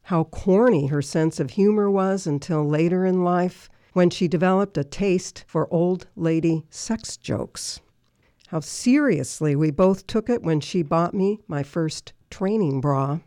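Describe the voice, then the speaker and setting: An older woman, around her sixties, reading her own personal remembrance aloud in a measured, list-like recitation. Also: low voice, mellow, dry recording, clean studio sound. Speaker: listener contributor reading own writing.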